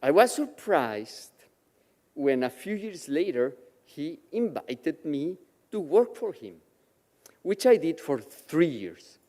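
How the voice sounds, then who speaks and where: A man from Mexico, raised with Yiddish as his first language, tells his life story in a slow, measured way. His voice is mellow and moves expressively up and down. The sound carries a long reverb from the chapel. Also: on the high side, very animated. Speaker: guest speaker at a Shabbat service. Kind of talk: personal testimony speech. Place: synagogue chapel.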